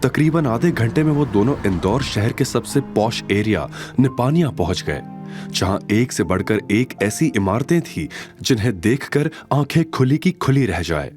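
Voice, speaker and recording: A man's voice: medium pitch, lively intonation, normal speed, music in the background. A man narrating an audio drama in a dramatic, scripted storytelling style.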